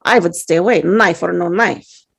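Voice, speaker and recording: A woman playing a polite, careful male juror who speaks in a deliberate, probing way at a dramatic table read. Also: on the low side, gravelly, slightly rough. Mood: questioning.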